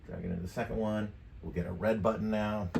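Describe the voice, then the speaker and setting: A man in his fifties giving a casual software tutorial, thinking aloud in an offhand, mildly profane way. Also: lowish voice, steady tone, fast, creaky. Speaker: tutorial presenter. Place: indoor home studio desk. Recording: roomy, distant mic, background noise.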